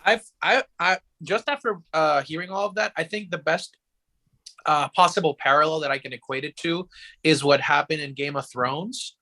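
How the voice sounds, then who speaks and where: A man co-hosting a casual podcast discussion, explaining his view haltingly as he thinks aloud. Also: a little high, bright, forceful tone. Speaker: podcast co-host.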